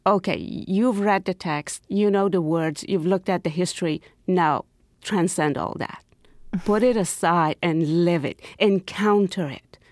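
Woman from iran, kinda low voice, talks expressively and whispers the key bits, dry close sound. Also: no room sound. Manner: expressive, drops to whispers for emphasis. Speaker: guest, professor and poet. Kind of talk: interview conversation.

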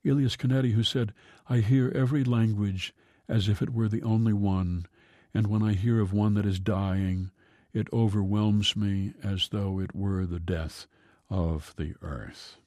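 A man hosting a radio segment, reading an almanac and a poem in a calm, measured way with slow drawn-out line endings. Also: on the low side, no room sound, studio quality. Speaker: radio host.

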